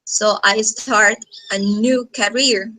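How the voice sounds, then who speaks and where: Bright, unhurried Colombian woman's voice. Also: medium pitch, steady tone, poor audio. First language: Spanish. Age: 30s. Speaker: teacher, guest speaker. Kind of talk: informal self-introduction to a class. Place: video call.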